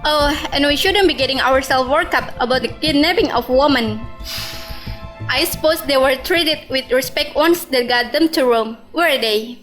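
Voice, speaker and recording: Girl acting stern formal panel lady in a radio play, high voice, bit of music behind. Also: moderate pace, a bit bright. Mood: indignant, sarcastic. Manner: formal, pointed. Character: stern, indignant woman on disciplinary panel.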